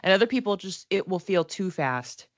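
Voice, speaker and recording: A woman giving a casual, informal tarot reading as a psychic, reflective and halting, often giggly as she talks. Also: mid-range voice, animated, no echo, lo-fi sound.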